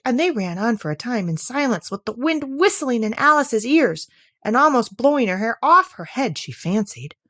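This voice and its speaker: A woman narrating a classic children's story aloud in an expressive, scripted storytelling style. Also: a little high, moderate pace, no room sound, studio quality. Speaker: audiobook reader.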